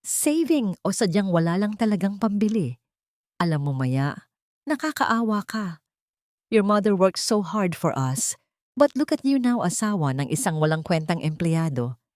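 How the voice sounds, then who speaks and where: A woman's voice: medium pitch, sing-song, measured pace, a little mellow, no echo, studio quality. Woman acting a haughty, sneering rich socialite. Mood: mocking, haughty. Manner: mocking, condescending, Taglish code-switching.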